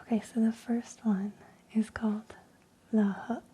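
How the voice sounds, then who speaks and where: A woman reading stories in a soft unvoiced ASMR whisper, slow and hushed with frequent ums, while losing her voice. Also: fairly high pitch, little pitch movement, a little hiss or hum. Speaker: ASMR creator.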